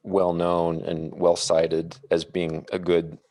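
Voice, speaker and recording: A man on a podcast panel casually discussing films in a measured, thoughtful, somewhat halting way. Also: deep voice, completely flat delivery, mellow, dry recording.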